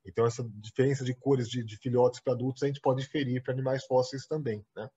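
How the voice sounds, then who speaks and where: A Brazilian man giving an online lecture over slides, halting with restarts and "né?" tags. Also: mid-range voice, steady tone, mellow. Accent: Brazilian Portuguese. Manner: halting, many repeated words and restarts; explains with frequent "né?" tags. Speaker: guest lecturer. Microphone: video call. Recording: husky, dull lo-fi video call audio.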